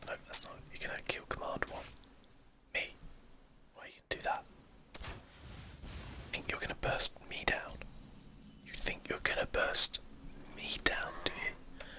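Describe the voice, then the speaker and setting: ASMR gaming host, soft breathy whisper, crisp sibilant brightness, casual self-deprecating commentary, close mic. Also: unhurried, pauses often.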